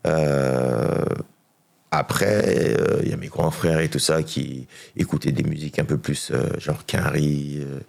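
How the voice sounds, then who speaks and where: A middle-aged man with a very low, deep voice talks as a guest in an interview. He answers in a relaxed, laid-back way, full of fillers and easy agreement. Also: measured pace, gravelly. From France. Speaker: musician guest.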